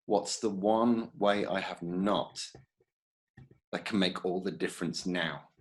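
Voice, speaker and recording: A man talking in a webinar discussion as a hypnotist, slowing down to repeat a tricky phrase. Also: low-pitched. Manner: plays with tricky phrasing, slows down for key lines. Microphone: video call.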